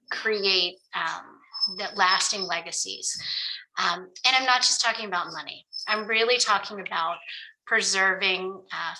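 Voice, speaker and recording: Woman, estate lawyer, mid pitch, sharp bright voice, earnest webinar explainer. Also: poor audio. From Florida. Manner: earnest, explanatory, frequent ums. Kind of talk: webinar presentation.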